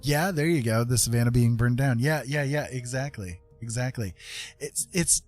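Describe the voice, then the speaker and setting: A man's voice: medium pitch, moderate pace, a little mellow, soft background music. A man hosting a casual drawing tutorial, thinking aloud in a rambling, informal way with frequent tag questions. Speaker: art tutorial host.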